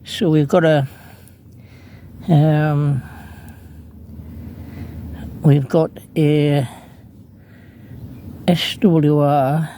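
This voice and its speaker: A man's voice: fairly high pitch, flat delivery, very slow, pauses often, dark tone, slight background noise. A man narrating an unscripted hands-on demonstration as an amateur radio hobbyist, hesitant and thinking aloud as he works. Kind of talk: unscripted how-to vlog narration.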